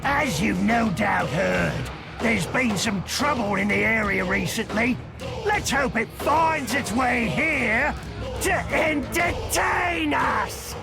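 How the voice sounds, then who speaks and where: A gravelly, high-pitched orc warlord bellows his gloating threats in a slow, heavy drawl. His brutish boasting rings over loud game music. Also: expressive, heavily acted. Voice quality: gravelly, shouting. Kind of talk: video game cutscene voice acting.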